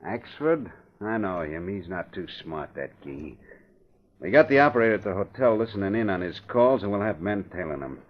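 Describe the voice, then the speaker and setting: A tough, gruff gangster henchman, bossy and full of street slang. His voice is fairly low. It comes through a muffled, lo-fi old radio recording. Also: lively intonation, moderate pace. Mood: menacing. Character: tough male gangster, the boss's right-hand man. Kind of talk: 1940s radio acting. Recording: dull lo-fi old radio broadcast.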